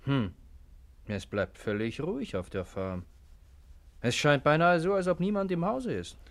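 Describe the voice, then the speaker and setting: An actor playing a sly, needling police sergeant with mock sympathy in a 1950s satirical radio drama. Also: male voice, on the high side, animated, measured pace. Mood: doubtful.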